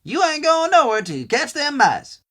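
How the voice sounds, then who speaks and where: An actor playing a folksy, chatty small-town storekeeper in a video game, with an American country drawl and drawn-out homespun phrasing. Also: male voice, very high-pitched, very animated, sharp tone, full character voice. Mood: bossy. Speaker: storekeeper character. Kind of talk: video game character dialogue.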